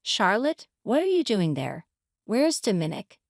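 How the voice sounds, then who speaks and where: A woman's voice: medium pitch, big pitch swings, measured pace, gravelly, no echo, clean studio sound. A woman narrator playing an indignant, hurt wife in a story, confrontational and pleading. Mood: shocked. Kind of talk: dramatized story reading.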